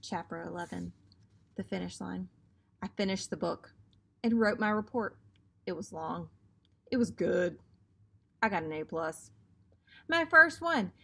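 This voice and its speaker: A woman's voice: mid-range voice, expressive, a little slow, gravelly, long pauses, a bit bright, slightly rough. A woman in her thirties reading a children's book aloud as a teacher, acting out the dialogue expressively.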